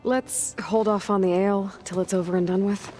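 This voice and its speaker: A woman's voice: medium pitch, fairly even intonation, moderate pace, dark tone, soft background music, lightly acted. A woman playing a young, determined outcast hunter in a video game, speaking plainly with terse, dry humor. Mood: dry teasing. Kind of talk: naturalistic video game acting.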